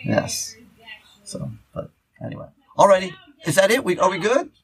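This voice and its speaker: A man's voice: sits high, very animated, gravelly, breathy edge. A man co-hosting a podcast in loose, unscripted chat, rambling and often restarting his phrases as he goes. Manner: rambling, halting, repeats words and restarts. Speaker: podcast co-host.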